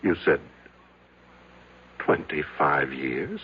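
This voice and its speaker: An actor playing a calm, patient psychiatrist in an old-time radio drama, speaking in a measured, gently probing way. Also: male voice, very deep voice, exaggerated voice. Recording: muffled, faintly noisy old radio recording.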